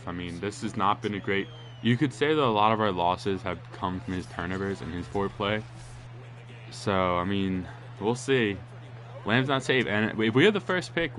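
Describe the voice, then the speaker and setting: Congested low-voiced man calling a football game. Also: fairly bright tone, a little hiss or hum. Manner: casual, rambling, spikes up on big plays. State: congested, nasal. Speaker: gaming commentator. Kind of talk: play-by-play commentary of a football video game.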